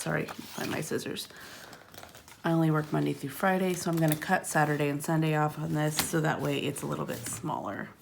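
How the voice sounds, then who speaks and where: A woman in her thirties chatting casually at home while placing planner stickers, rambling and sometimes murmuring quietly to herself. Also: sits low, gravelly, heavy background noise. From California. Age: 30s. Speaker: planner vlogger. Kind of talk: casual plan-with-me narration. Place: at home, tabletop.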